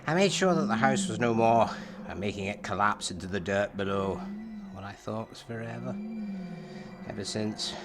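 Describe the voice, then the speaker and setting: A man acting a brooding time-travelling alien who serves as his own therapist, speaking in a solemn, deliberate way in an audio drama. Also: on the low side, lightly acted. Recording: music under the voice. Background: music.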